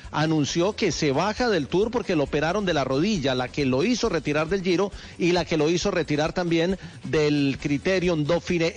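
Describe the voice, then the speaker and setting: A man delivers sports news on the radio in a fairly high voice. He talks fluently but stumbles into restarts now and then.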